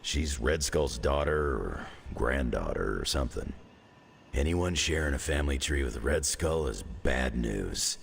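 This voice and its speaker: An actor playing a gruff, wisecracking clawed mutant veteran in a video game cutscene, with a sarcastic, joking manner. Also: male voice, expressive, a little slow, slight background noise, full character voice. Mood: mocking. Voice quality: gravelly, very deep.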